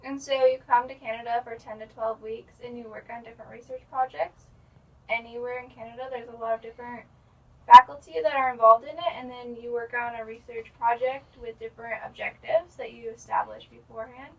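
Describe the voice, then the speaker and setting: A woman's voice: on the high side, steady tone, brisk, distant mic, rough recording. A young woman from Illinois, an undergraduate research assistant, talking eagerly about her program. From Illinois, United States. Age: young adult. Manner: bright, eager. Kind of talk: casual self-introduction.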